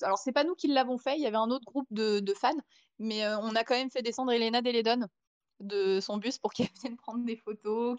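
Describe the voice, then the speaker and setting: A young French woman, a podcast guest, telling a long anecdote in casual chat with many fillers and restarts. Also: on the high side. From France. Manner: chatty storytelling with many fillers.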